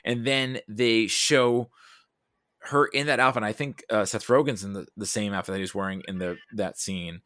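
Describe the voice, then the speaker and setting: A man talking casually about films as a podcast guest, thinking aloud in a halting, rambling way. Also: on the low side, fairly even intonation, fairly bright tone, dry recording, studio quality. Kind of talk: casual film discussion.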